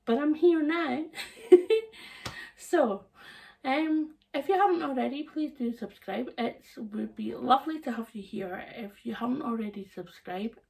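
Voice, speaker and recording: Hoarse, slow-talking woman vlogger. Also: a little high, a little mellow, recorded from a distance. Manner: chatty, laughs often, meandering. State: hoarse, croaky throat. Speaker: sewing channel host. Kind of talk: casual chat to camera. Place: living room indoors.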